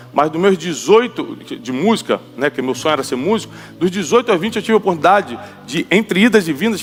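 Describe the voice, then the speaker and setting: A man's voice: fairly high pitch, in a large hall. A man, preaching an emphatic sermon and having the audience repeat lines. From Rio de Janeiro, Brazil.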